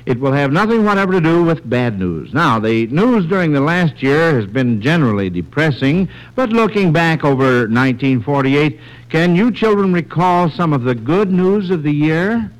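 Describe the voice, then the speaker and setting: A man's voice: a little high, big pitch swings, normal speed, rough recording. An American man hosting a quiz show in the scripted style of old radio, reading out questions on a vintage 1940s broadcast.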